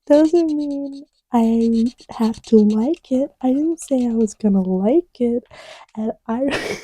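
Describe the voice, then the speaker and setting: A woman playing a playful, distracted young girlfriend in an intimate ASMR roleplay, murmuring in a halting, teasing way. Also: fairly high pitch, dark tone, slight background noise. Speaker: audio roleplay voice actor.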